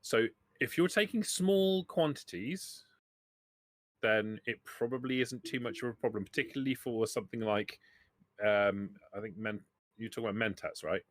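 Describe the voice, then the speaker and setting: A man's voice: medium pitch, moderate pace, no room sound. A man acting as game master, explaining rules and running a tabletop roleplaying game, often rephrasing as he goes.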